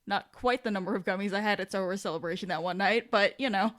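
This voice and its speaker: Woman, medium pitch, casual podcast co-host, wry, rambling delivery. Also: quick pace. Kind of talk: casual chat.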